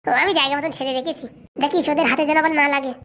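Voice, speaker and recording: A woman's voice: high-pitched, fairly even intonation, very fast, fairly bright tone. A woman voicing a sly, vengeful young woman in a comic cartoon, scheming in colloquial, conspiratorial talk.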